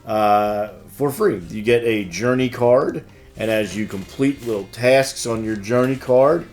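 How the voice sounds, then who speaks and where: A man's voice: medium pitch, unhurried, darkish tone. Casual man reading shop announcements with music. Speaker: host of a game shop news show.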